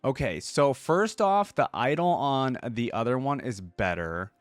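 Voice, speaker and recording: A man's voice: mid-range voice, animated, a little slow, no echo, soft background music. A man hosting a reaction video, casually thinking aloud and reading bits of text out with conversational commentary.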